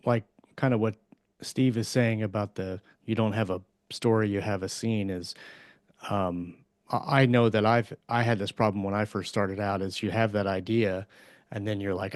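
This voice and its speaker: A man with a fairly low voice speaks in a casual panel discussion as a podcast co-host. The sound is close and dry, free of room echo. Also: steady tone, moderate pace.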